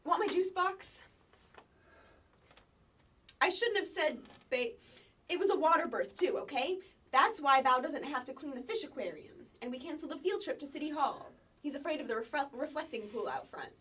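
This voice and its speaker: A woman's voice: sits high, lively intonation, moderate pace, bright, forceful tone, recorded from a distance. An actress playing a bossy, precocious kindergarten girl with a pompous, officious manner in a staged comedy sketch reading. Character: bossy, precocious kindergarten girl managing her twin brother.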